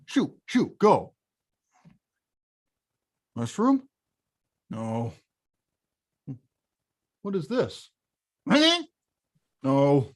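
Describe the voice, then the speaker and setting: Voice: mid-range voice, huge pitch swings, extremely slow, long pauses, full character voice. An actor plays a big, stubborn bad guy from a cartoon about video games. The voice swings widely as he blusters with frustration. He is emphatic and emotional, hungry for respect. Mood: weary, grumbling. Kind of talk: animated film script table read.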